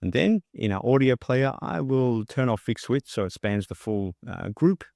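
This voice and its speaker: A man narrating an instructional software tutorial, explaining things step by step as the presenter. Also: mid-range voice, animated, moderate pace, creaky, no echo, clean studio sound. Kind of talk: instructional screen-share narration.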